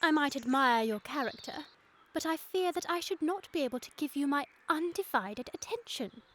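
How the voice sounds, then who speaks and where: A very high-voiced, sweet and romantic young Victorian lady. She speaks precise, mannered British English in a comic radio play, with faint noise behind her. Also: expressive, moderate pace, fairly bright tone. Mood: dreamy, coquettish.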